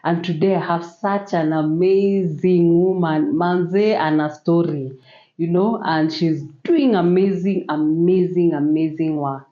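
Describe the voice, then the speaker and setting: A woman hosting a faith-based interview, constantly murmuring soft affirmations as her guest talks. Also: fairly low pitch, measured pace. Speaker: host. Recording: echoey room, dull lo-fi sound.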